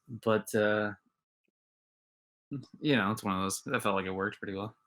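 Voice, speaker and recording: A man's voice: fairly low pitch, slow pace, a bit bright. A man co-hosting a podcast in casual chat, rambling with lots of filler words. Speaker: podcast co-host.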